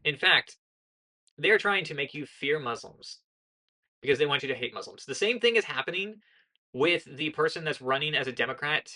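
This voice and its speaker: Young american woman vlogging, flat monotone delivery, bright tense sound, preachy and earnest. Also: very deep voice, rough recording. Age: young adult. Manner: earnest, lecturing, with frequent self-corrections. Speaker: political commentary vlogger. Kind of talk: casual spoken commentary.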